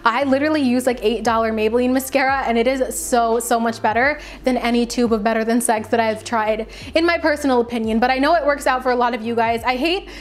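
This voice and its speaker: A woman with a fairly high voice talks casually to camera as a beauty vlogger. She reviews products in a chatty, conversational way and leans on words for emphasis. Her voice carries a slight raspy tiredness from a long stretch of talking. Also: fairly even intonation, fast talker, few pauses.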